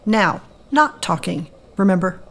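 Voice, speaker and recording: An actress playing a sharp-tongued, unimpressed woman in an audio drama, with a dry, sarcastic manner. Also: fairly low pitch, lively intonation, a little slow. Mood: sarcastic, taunting.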